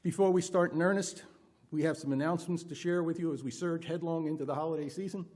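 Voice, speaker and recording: A person leading a worship service from a pulpit, reading aloud with deliberate, emphatic expression. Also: medium pitch, steady tone, a little mellow. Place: church pulpit.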